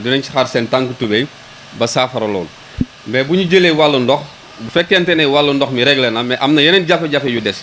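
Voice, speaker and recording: A man with a Senegalese accent delivers a formal ceremony address in a medium-pitched voice. He feels his way through sentences, doubling words as he goes. A faint ambient hum sits behind the voice. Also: a bit bright, poor audio. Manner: hesitant, repeating words, switching between Wolof and French. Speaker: local government official. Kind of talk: public ceremony speech.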